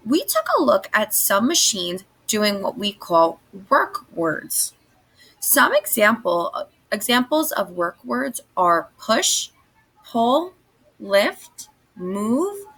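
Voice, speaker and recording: A woman's voice: fairly high pitch, fairly bright tone, breathy edge, low-quality recording. A woman teaching young children, giving instructions and guiding questions in a read-aloud lesson. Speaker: elementary school teacher.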